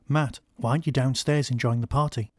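A man's voice: medium pitch, fairly even intonation, a little fast, a little mellow, breathy edge, dry recording, studio quality, natural voice. A man voicing a nervous, startled writer with a Scottish accent in an audio story.

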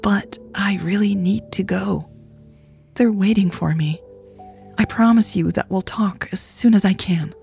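A woman voicing a tender, secretive woman in an audiobook, speaking softly and breathily in a gentle, apologetic, pleading manner. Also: medium pitch, unhurried, faint music behind, pitched above the performer's own voice. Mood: earnest, pleading. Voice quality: soft, breathy, half-whispered. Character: Elle, tender secretive woman leaving her lover at night. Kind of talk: audiobook character dialogue.

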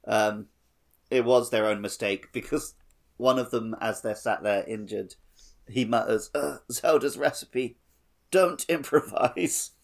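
A man's voice: mid-range voice, unhurried, creaky. A man chatting casually and wryly as a gaming podcast co-host.

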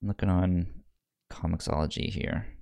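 A man co-hosting a podcast, chatting casually about books in a halting way. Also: low voice, steady tone, vocal fry, warm tone, clear, resonant tone. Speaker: podcast co-host discussing comics. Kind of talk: casual chat, book review.

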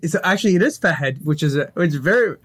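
Higher-voiced man, slight Arabic accent. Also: fast, slightly rough. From born in Kuwait, moved to Canada. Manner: chuckles often, animated agreement. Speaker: podcast guest. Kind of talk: casual conversational interview.

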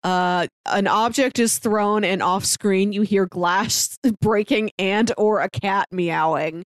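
A woman's voice: medium pitch, slow, dry recording. Woman podcast co-host, close dry sound. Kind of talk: casual film discussion chat.

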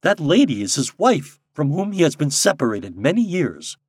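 A man voicing an earnest, excitable gentleman in a storybook, speaking in an urgent, well-spoken way. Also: fairly high pitch, moderate pace, breathy edge, no echo, clean studio sound, pitched above the performer's own voice. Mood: relieved, brisk. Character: earnest, excitable gentleman leading a travelling party.

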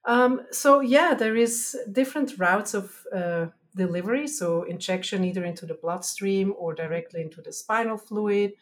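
A woman's voice: medium pitch, moderate pace, few pauses. A woman from Switzerland with a Swiss German accent, explaining science as an interview guest in careful, sometimes hesitant phrasing.

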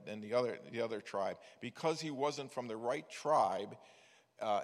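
An older man preaching a sermon, telling stories in a folksy way with frequent restarts. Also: mid-range voice. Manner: folksy storytelling with many restarts. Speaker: preacher, former missions director. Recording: echoey hall with long reverb.

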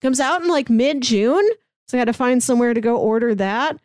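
A woman's voice: on the high side, expressive, a little fast, darkish tone, dry recording, clean studio sound. A woman co-hosting a podcast in casual, chatty style, given to chuckling as she talks. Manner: chuckles often while talking. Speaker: podcast co-host. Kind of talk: casual chat.

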